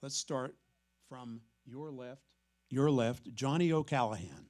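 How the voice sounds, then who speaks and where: A man hosting a literary event, giving a measured, explanatory prepared introduction. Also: medium pitch, darkish tone. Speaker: host introducing a staged reading. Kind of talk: prepared introductory talk to an audience.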